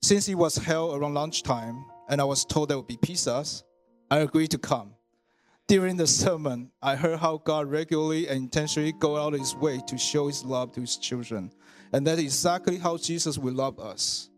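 A man, reading his personal testimony at church in an earnest, measured way. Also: a little high, soft background music. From Taiwan. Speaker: church member giving testimony.

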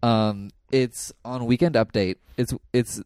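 A chatty man co-hosting a podcast, with a middle-pitched voice. He talks casually and rambles, laughing often. The recording is dry and close. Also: steady tone, slow pace, darkish tone, clean tone, no echo. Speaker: podcast co-host. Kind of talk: casual chat.

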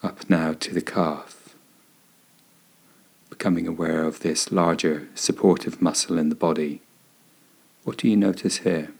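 A man with a low, husky voice leads a guided meditation. He gives gentle, soothing instructions and leaves unhurried pauses between phrases. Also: steady tone. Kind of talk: guided body scan meditation narration.

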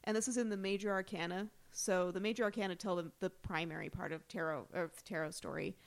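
A woman's voice: fairly low pitch, steady tone, a little fast. A woman co-hosting a casual chat about a TV episode, joking and bawdy, wandering off into tangents. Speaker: podcast co-host.

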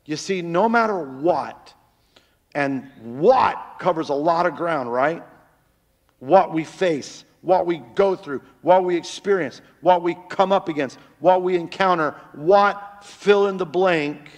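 A man preaching a sermon as pastor in a church, with a very loud voice that swings between loud cries and hushed, near-whispered lines, on a microphone. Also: fairly high pitch, takes it slowly, in a large hall.

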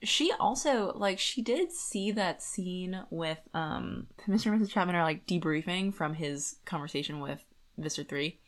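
A woman's voice: on the low side, expressive, normal speed. A woman co-hosting a podcast in a casual, colloquial book discussion, slipping conversationally into quoted lines. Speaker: podcast co-host.

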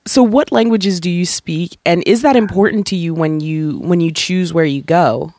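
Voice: medium pitch, moderate pace, no room sound. Chatty podcast host, dry close voice. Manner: chatty, lively, swears casually, acts out quotes. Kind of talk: casual interview chat.

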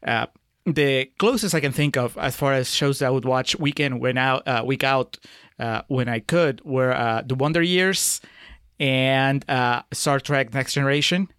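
A man's voice: mid-range voice, unhurried, fairly bright tone, smooth, no echo, clean studio sound. A middle-aged man from Peru chatting casually as a podcast guest, reminiscing in wandering, filler-laden sentences.

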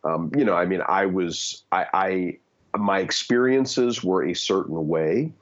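A man's voice: low voice, a little slow, lo-fi sound. A man in his fifties giving candid opinions in conversation, earnest, with many fillers and restarts. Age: 50s. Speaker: guest.

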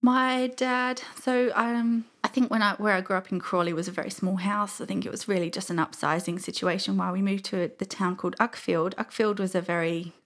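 A woman's voice: medium pitch, steady tone, fairly quick, few pauses. A woman in her thirties with an English accent, chatting casually and telling stories as an interview guest. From England. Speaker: podcast guest.

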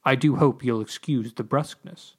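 A man voicing a loud, bewildered godlike being in a storybook, speaking with polite, ponderous formality and resonance. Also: medium pitch, normal speed, slightly rough, close to an everyday voice. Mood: puzzled, apologetic.

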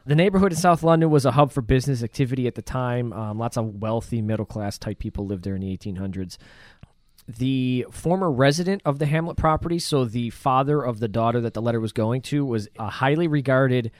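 Man, podcast co-host, mid-pitched, casual and sweary storyteller, very dry close recording. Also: fairly quick, a little mellow, no room sound. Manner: informal, profane. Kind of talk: casual chat, paraphrasing a news article.

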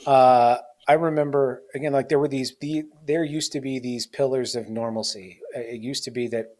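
A man's voice: medium pitch, fairly even intonation, moderate pace, a little mellow. A man in his forties hosting a podcast, chatting in a rambling, self-mocking way with lots of fillers. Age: 40s. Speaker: podcast host. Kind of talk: casual interview chat.

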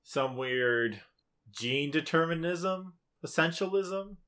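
A man's voice: on the high side, animated, takes it slowly, sharp tone. A man co-hosting a podcast, chatting casually about a video game in an informal, opinionated way. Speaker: podcast co-host.

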